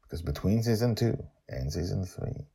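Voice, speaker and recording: A low-voiced man hosts a story podcast with a husky, gravelly delivery. He speaks in theatrical short phrases, swinging widely in pitch. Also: animated, normal speed, mellow. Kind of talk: dramatic podcast narration and commentary.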